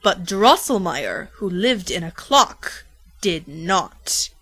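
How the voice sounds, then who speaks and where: A woman's voice: lowish voice, sing-song, slow, fairly bright tone, breathy edge. A woman narrating a rhymed storybook parody in a theatrical, playful way, drawing out words for dramatic emphasis.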